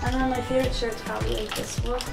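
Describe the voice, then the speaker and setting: A woman's voice: a little high, level tone, quick pace, gravelly. A young woman showing her room in chatty, jokey casual talk. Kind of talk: casual chat. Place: large house, indoor rooms. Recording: echoey rooms with loud music.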